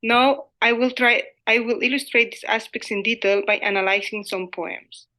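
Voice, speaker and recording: The bright, clear-cut voice of a woman researcher, a native Spanish speaker, formally reading her paper at a literature conference. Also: on the high side, fairly even intonation, moderate pace. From Spain. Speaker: academic researcher presenting a paper. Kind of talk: scripted conference presentation read aloud.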